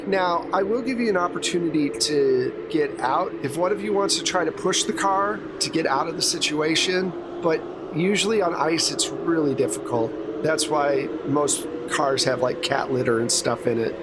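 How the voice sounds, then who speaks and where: A man's voice: on the high side, moderate pace, hardly any pauses, heavy background noise. An American man running a tabletop role-playing game as game master, narrating conversationally.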